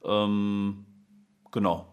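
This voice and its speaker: Low-voiced man talking casually, full of fillers. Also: flat delivery, extremely slow. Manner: rambling, many fillers and restarts. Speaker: music and Dutch teacher, guest. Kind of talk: casual conversational interview.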